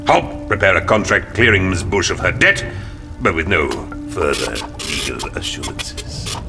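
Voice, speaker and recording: An actor playing a smug, menacing businessman in an audio drama, unhurried, condescending and mock-polite. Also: sits low, big pitch swings, music in the background, heavily acted. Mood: threatening.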